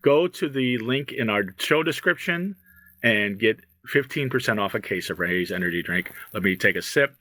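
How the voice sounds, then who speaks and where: A man's voice: lowish voice, expressive, normal speed, bright, forceful tone, dry recording. A man hosting a comedy show, chatting in a casual, joking, talkative way. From born in Brooklyn, moved at three. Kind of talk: casual bantering interview.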